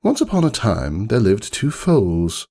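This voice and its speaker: A man's voice: lowish voice, wildly swooping pitch, unhurried, warm tone, full character voice. A man playing a haughty, melancholy aristocratic unicorn who narrates his own story in a wordy, theatrical, ruminative storybook manner. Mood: storytelling, melancholy. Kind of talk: dramatic storybook reading, first-person monologue.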